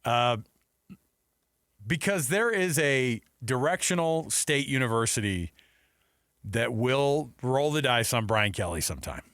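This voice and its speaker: A man's voice: mid-range voice, lively intonation, measured pace, fairly bright tone, dry recording. Joking male sports radio co-host, dry studio sound. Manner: joking, sarcastic. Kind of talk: casual sports talk banter.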